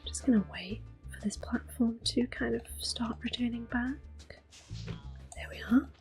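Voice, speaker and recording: A woman giving gentle, unhurried ASMR game commentary in a soft, breathy, reassuring voice. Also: on the high side, soft background music, poor audio. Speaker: ASMR gameplay host.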